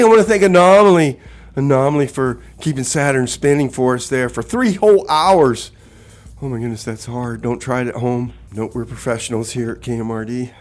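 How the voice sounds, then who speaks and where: Exasperated folksy male radio host. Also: mid-range voice. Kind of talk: live comedy radio banter and music announcing.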